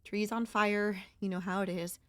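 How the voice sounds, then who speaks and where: A woman voicing a gentle, wistful and anxious young centipede monster girl in an ASMR audio roleplay, speaking softly and hesitantly in a conversational way. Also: medium pitch, fairly even intonation, moderate pace, no echo, close to an everyday voice. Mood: calm, reminiscing.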